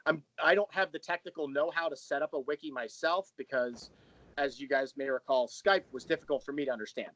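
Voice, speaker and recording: A man's voice: fairly high pitch, brisk, a bit bright, no room sound, low-quality recording. A man talking casually and animatedly as a podcast guest and creator, telling about his work with enthusiasm. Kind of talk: casual interview chat.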